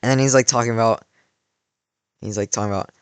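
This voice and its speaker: A man's voice: fairly low pitch, steady tone, fast, smooth, dry recording. Rambling young guy, slightly worn voice. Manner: rambling, many "like" fillers and restarts. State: voice worn from cheering. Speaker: vlogger. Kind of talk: casual storytelling.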